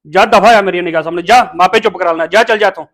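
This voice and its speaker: A man's voice: a little high, fairly quick, close to an everyday voice. A man playing a blunt, short-tempered yet protective family man in a domestic melodrama. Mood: angry, scolding. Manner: blunt, emphatic, colloquial. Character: scolding, short-tempered man, protective toward an abandoned baby. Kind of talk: melodramatic domestic drama acting.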